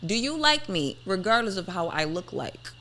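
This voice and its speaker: A woman's voice: medium pitch, sing-song, moderate pace, a bit bright. A woman in her twenties chatting on a podcast, arguing her point in a pointed, insistent way. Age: 20s. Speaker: podcast host. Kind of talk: casual debate chat.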